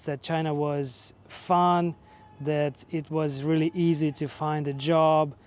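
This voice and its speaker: A man in his forties from Russia, speaking English, telling his own story in a relaxed, measured way with fillers. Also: a little high, clear, resonant tone. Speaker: vlogger and teacher. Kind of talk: casual personal storytelling.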